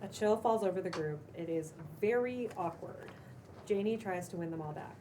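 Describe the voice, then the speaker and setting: A woman's voice: medium pitch, normal speed. A woman narrating the stage directions of a script at a live table read, in a noisy recording.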